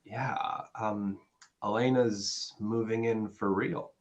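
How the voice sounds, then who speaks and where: A man's voice: on the low side, steady tone, slow pace. A man playing an affable, self-satisfied businessman and boyfriend in a dramatic play reading. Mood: placating.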